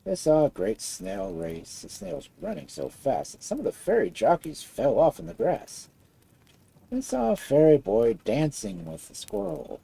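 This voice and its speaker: A storyteller reading a fairy tale aloud in an expressive style. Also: medium pitch, creaky, slightly rough, rough recording.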